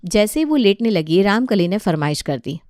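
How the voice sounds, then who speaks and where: A woman narrates a scripted family story. Her voice sits at a medium pitch. The recording is close and dry, without room sound. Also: very animated, normal speed, a little mellow, no echo.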